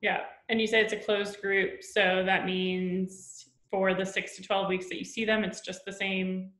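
A woman's voice: medium pitch, flat delivery, a little slow, fairly bright tone. A woman in her thirties hosting an interview over a video call, asking long, searching questions with frequent ums. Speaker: podcast host, interviewer. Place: room at home with plants. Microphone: webcam mic on a video call. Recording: echoey room over a webcam.

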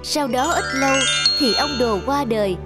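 A woman's voice: high voice, moderate pace. A woman with a Southern Vietnamese accent narrating a scripted folk tale. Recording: music behind the voice.

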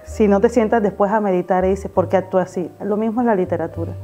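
A woman's voice: medium pitch, fast, mellow, faint music behind. A woman in her thirties with a Caribbean Colombian accent, chatting casually as a guest writer in an interview. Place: small TV studio set, indoors.

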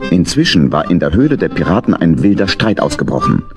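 A man's voice: deep voice, big pitch swings, fairly quick, over loud music. A man narrating a children's audio drama in scripted storybook style.

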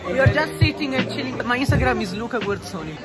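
A man's voice: extremely high pitch, lively intonation, fast. Joking teen boy in a noisy schoolyard. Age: teens. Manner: joking, showy, teasing. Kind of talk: casual chat. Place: school courtyard outdoors. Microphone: friend's phone camera. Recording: loud crowd chatter and music.

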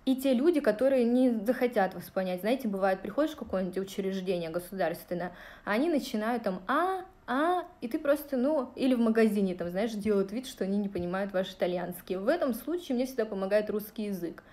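A woman's voice: mid-range voice, expressive, fairly quick, darkish tone. A woman in her thirties from Russia, chatting casually and directly to her viewers on a livestream, with plenty of fillers, recorded on a phone at arm's length in a small room. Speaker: vlogger answering viewer questions. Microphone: phone held at arm's length.

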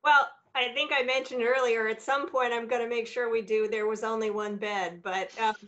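A woman's voice: a little high, fairly even intonation, moderate pace, fairly bright tone. A woman speaking as an author on an online panel, chatty and upbeat. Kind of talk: casual panel chat. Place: bright home room, video call. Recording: dull video-call audio.

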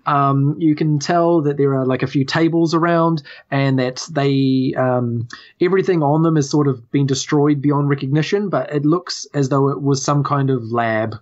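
New Zealand game master, slightly dull audio. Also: male voice, mid-range voice, fairly even intonation, normal speed, a little mellow. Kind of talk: improvised tabletop game narration.

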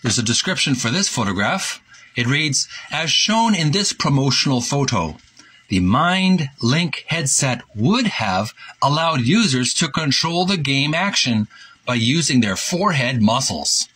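A man hosting a retro gaming video, reading a book aloud in a halting, deliberate way with casual commentary. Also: mid-range voice, big pitch swings, bright, forceful tone, breathy edge. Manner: halting, deliberate, repeats himself.

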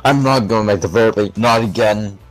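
A man's voice: on the low side, brisk, dry recording, faint music behind, close to an everyday voice. A man voicing an unhinged, whiny echidna knight in an improvised comedy fan dub, muttering and pleading nervously in broken phrases. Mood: panicky.

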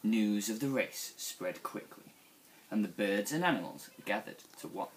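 A young man reading a picture book aloud to children in an expressive, deliberate storytelling style. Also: fairly low pitch, a little hiss or hum, rough recording. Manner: animated, deliberate storytelling delivery. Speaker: school staff member reading to young children.

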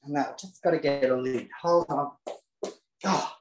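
A man's voice: medium pitch, measured pace, background noise. A man presenting a casual live cooking demonstration in a home kitchen, camp and chatty with cheeky asides. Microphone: self-set camera. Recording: roomy kitchen echo.

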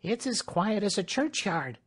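A man voicing a warm-hearted, excitable serving woman in an audiobook, with a plain, artless, emphatic way of talking. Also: sits high, unhurried, husky, voice pushed up, exaggerated voice. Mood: anxious, devoted.

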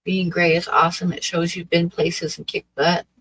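A woman's voice: fairly low pitch, little pitch movement, moderate pace, a bit bright, breathy edge, dry recording, poor audio. A woman in her sixties from Oregon, hosting a livestream and chatting casually with her viewers in a rambling, hesitant way full of fillers. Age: 60s. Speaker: livestream host. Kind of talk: casual chat with live chat. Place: small dim room with draped wall.

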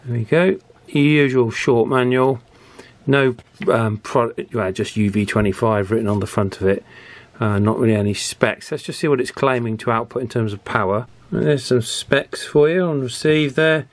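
A man's voice: mid-range voice, normal speed. British man chatting through a casual review. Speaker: YouTube radio reviewer. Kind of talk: casual unscripted product review, thinking aloud.

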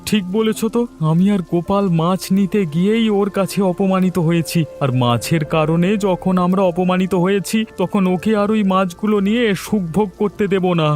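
A man voicing a hot-headed poor villager in a cartoon, speaking in an animated way with music behind. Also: sits high, moderate pace, few pauses, close to an everyday voice. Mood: resolute. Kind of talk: cartoon voice acting.

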